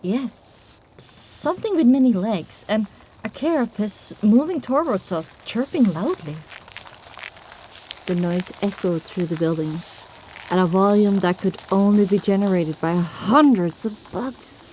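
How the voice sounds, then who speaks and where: A woman's voice: medium pitch, expressive, measured pace, a little mellow, a little hiss or hum, poor audio. A woman narrating an audio drama in the first person with a dramatic, scripted delivery.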